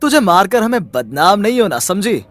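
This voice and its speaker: A man's voice: sits high, big pitch swings, normal speed, fairly bright tone, dry recording, soft background music. A man voicing a callous, impatient young husband in a family drama story, harsh and scornful.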